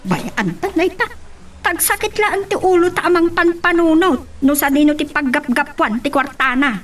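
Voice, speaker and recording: High, swooping gossipy Ilocano wife played by a woman. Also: expressive, moderate pace, background noise. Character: nosy, gossiping wife, lively and dramatic. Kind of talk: Ilocano radio-style drama acting.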